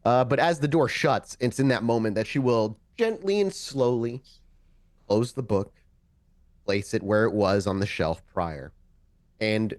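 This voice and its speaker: A man runs a tabletop roleplaying game in a very dry-sounding space. His pitch moves around a lot as he describes scenes conversationally, stopping for dramatic pauses and rewording as he goes. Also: darkish tone, no room sound. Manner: halting, self-correcting, dramatic pauses. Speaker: storyteller, game master of a tabletop roleplay show.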